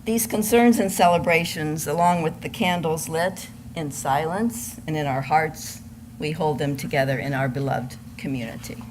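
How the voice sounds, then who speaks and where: An older woman with a low-pitched voice addresses a congregation with announcements and shared concerns. She is speaking live at a service, with some faint noise around her. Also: normal speed. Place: church service.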